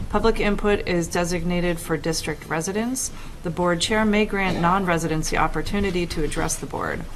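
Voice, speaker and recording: A woman serving as school board vice chair, reading a formal public input statement in a measured way. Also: lowish voice, slight background noise, rough recording. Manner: measured, procedural. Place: large meeting room.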